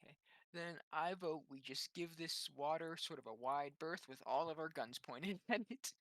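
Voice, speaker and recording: A man's voice: a little high, normal speed, a bit bright, no room sound. A man chatting casually as a player in a tabletop game, hesitant and joking. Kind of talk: casual chat.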